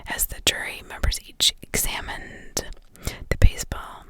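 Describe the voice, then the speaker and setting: A woman reading a novel aloud as a narrator in a breathy, whispered voice. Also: a little slow, fairly bright tone, no echo. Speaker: audiobook reader.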